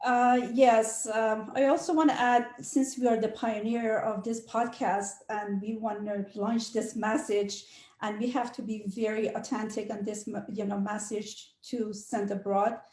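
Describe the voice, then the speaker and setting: A middle-aged woman, a realtor and committee chair, speaking English as a second language in a halting way with many fillers during a panel discussion. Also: a little high, flat delivery, darkish tone, echoey room. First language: not English.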